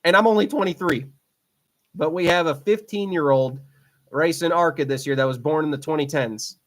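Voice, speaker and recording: A man's voice: on the high side, normal speed, a bit bright. A man in his twenties hosting a racing podcast solo, talking casually, rambling and repeating himself with lots of fillers. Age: 20s. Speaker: podcast host.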